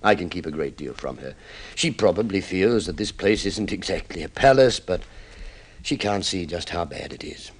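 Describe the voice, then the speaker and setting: A proud man brought low, a devoted husband keeping up a brave face. His voice sits on the low side, with a wry, earnest delivery in the manner of vintage radio drama. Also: lively intonation, normal speed, slightly rough. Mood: weary, grave. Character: proud, impoverished husband putting on a brave front. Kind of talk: old-time radio drama acting.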